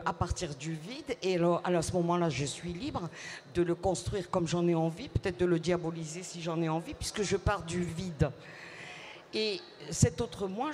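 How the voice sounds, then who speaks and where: A woman author answers interview questions in a measured, reflective voice. She hesitates often and restarts phrases as she thinks aloud, and it sounds like it was recorded at a public book fair. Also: lowish voice, darkish tone. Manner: reflective, measured, many hesitations and repeated words. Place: book fair at a city hall.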